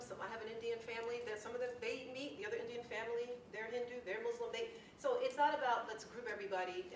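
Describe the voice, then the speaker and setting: A woman's voice: fairly high pitch, a bit bright. A woman leading a workshop, speaking emphatically to a group, stretching key words and checking in with her listeners. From Brooklyn, New York, United States. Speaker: diversity workshop trainer. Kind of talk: spontaneous workshop talk to a group. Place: indoor meeting room with a group. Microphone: distant room microphone. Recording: roomy, distant microphone, background noise.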